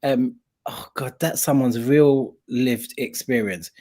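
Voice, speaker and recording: A man hosts a casual online chat show in a husky, slightly breathy voice. He talks enthusiastically and fills the gaps with little fillers. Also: mid-range voice, slow pace, a little mellow. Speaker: host.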